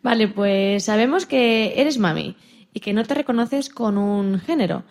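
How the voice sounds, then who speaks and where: A woman's voice: medium pitch, lively intonation, measured pace, a little mellow. A woman co-hosting a radio programme in a conversational interview style.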